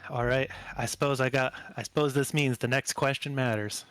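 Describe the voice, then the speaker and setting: A man voicing a seasoned, cocky combat pilot in a tabletop game, speaking deliberately and matter-of-factly. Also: medium pitch, fairly even intonation, fairly bright tone, smooth, soft background music, close to an everyday voice. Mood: measured planning. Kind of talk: tabletop roleplay dialogue.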